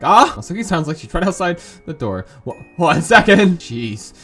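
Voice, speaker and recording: A hoarse young man with a sore throat reading a visual novel game aloud and breaking off into casual, rambling asides. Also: on the high side, big pitch swings, moderate pace, a bit bright, faint music behind. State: hoarse from a sore throat, voice lower than usual. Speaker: let's play host.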